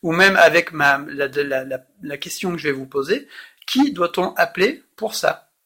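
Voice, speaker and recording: In a recorded phone call, a man presses a complaint with exasperated, insistent questions. His voice sits a little high and has a sharp, tense edge. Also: lively intonation, moderate pace, breathy edge. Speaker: caller, customer complaining.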